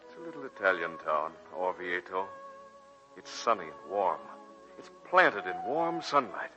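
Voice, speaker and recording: Deep, ringing romantic professor. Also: male voice, sing-song, bright, forceful tone, full character voice. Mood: wistful, ardent. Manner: literary, measured, ardent. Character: earnest, romantic married college professor in love. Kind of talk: 1940s radio acting. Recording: muffled vintage 1940s radio broadcast, music behind.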